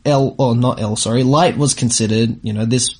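Young guy co-hosting anime show, bit of rasp, explains stuff emphatically, super casual. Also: mid-range voice, fairly even intonation, moderate pace, a little mellow. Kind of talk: casual chat, discussing anime.

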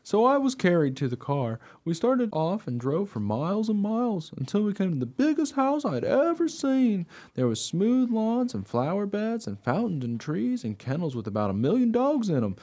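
Male actor as plain mongrel dog, wry radio play narrator, mellow tone, very lively intonation, conversational. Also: a little high.

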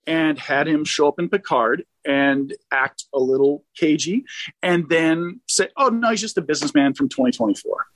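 A man with a fairly high voice talks casually as a caller on a fan show. He feels his way through sentences with a halting delivery, and the sound is dry, with very little room. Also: dry recording, low-quality recording.

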